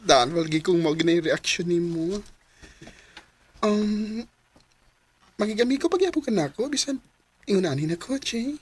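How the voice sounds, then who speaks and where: A woman playing a young lovelorn Cebuano character in an emotive radio drama, with a breathy, husky voice and a Cebuano accent. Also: medium pitch, expressive, unhurried, long pauses, a little mellow. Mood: teasing, hesitant. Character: young lovelorn Cebuano confessing long-hidden love.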